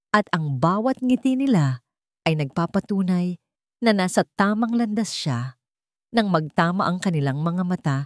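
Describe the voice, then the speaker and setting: A woman's voice: mid-range voice, no room sound, clean studio sound. A woman with a Filipino accent narrating a scripted dramatic love story. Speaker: narrator of audio love stories.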